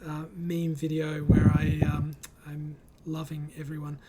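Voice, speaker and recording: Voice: medium pitch, completely flat delivery, a little slow, crowd noise. A host of a casual online group chat, reading out comments and speaking in an encouraging, reassuring way over a voice call.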